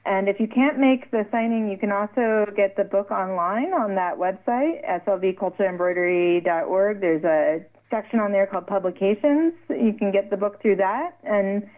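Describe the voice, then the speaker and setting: A woman's voice: medium pitch, moderate pace, hardly any pauses. Woman giving event details over the phone. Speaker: event organizer. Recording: tinny phone line.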